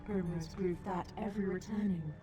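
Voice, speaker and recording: An actress playing a secretive, tender guardian who narrates a tragic tale in a measured, solemn way. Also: female voice, fairly low pitch, fairly even intonation, over music, close to an everyday voice. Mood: resolute. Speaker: story narrator. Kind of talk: scripted dramatic narration.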